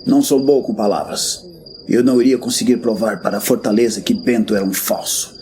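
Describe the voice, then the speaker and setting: An actor playing a grim, world-weary veteran warrior master in a fantasy audio drama, speaking bluntly and solemnly. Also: male voice, on the low side, brisk, dark tone, slight background noise, close to an everyday voice. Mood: stern. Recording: background music.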